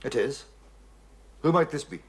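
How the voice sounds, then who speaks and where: An actor playing a formal, deferential but shrewd police inspector with a Scottish accent and a husky voice in a period drama. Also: male voice, medium pitch, animated, unhurried. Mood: dry, polite. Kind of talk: period television drama dialogue.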